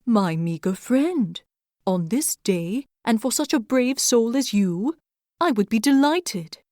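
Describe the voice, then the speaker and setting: A noble male owl from a storybook, a predator who speaks gently and formally, as a woman puts him on. His lines come slow and measured, recorded close and dry. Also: on the high side, expressive, dry recording. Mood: gentle.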